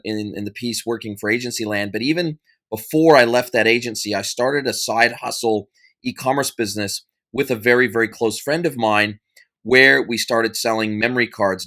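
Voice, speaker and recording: A man's voice: medium pitch, bright, forceful tone. A man in his forties with an American accent, a podcast guest and consultant telling an informal story with many restarts and fillers. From United States. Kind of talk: conversational interview answer.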